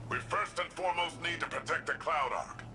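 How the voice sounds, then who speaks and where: An actor playing a firm, practical veteran cyborg mentor in a video game, speaking seriously and emphatically. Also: male voice, a little high, brisk, over music, natural voice. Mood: earnest, firm.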